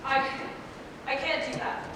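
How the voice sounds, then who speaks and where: A woman's voice: flat delivery, measured pace, sharp tone. A woman playing an anxious, remorseful older male castle master in a theatrical staged reading, hesitant and pleading. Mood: desperate. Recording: distant echoey hall, noisy rumble.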